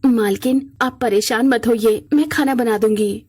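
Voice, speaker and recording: A woman's voice: fairly high pitch, fairly even intonation, a little slow, a little mellow, breathy edge. A woman voicing a humble, dutiful young mother who works as a maid, deferential and earnest, in a cartoon moral story. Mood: deferential, reassuring.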